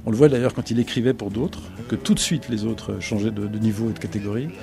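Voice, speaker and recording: A middle-aged man talking on the radio about songs he loves, thoughtful and pausing often to find his words. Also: lowish voice, fairly even intonation, vocal fry, mellow. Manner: thoughtful, searching for words, many pauses and restarts. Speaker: radio guest, broadcasting executive. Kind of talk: reflective radio talk about favorite songs.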